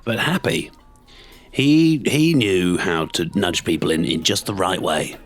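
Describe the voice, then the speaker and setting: An actor playing an avuncular storybook character reminiscing about politics in a chatty, hesitant, gossipy interview. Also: male voice, deep voice, sing-song, measured pace. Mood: wry reminiscing. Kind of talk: mockumentary interview in audio drama.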